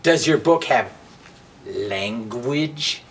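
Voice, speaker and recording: A prim storybook matchstick, played by a man, scolds with disapproval in a creaky voice. The words are drawn out and emphatic, recorded a little way from the mic. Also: medium pitch, lively intonation, drawn-out words. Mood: disapproving. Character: prim, scolding male match, a book-banning busybody. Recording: distant mic with faint background noise.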